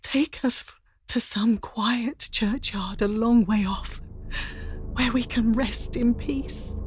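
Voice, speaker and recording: A woman playing a dying young wife and mother in a period audio drama, meek and devout, faint and breathy, speaking haltingly and pleadingly. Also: on the high side, darkish tone, slight background noise. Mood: weak, pleading. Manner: halting, pleading, weakly coughing.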